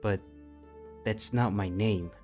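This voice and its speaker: A man's voice: lowish voice, fairly even intonation, slow pace, a little mellow, faint music behind. A man voicing a wary, tentative dragon slayer from another world in a fantasy audio drama. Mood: subdued. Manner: hesitant, tentative. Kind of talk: fan fiction audio drama acting.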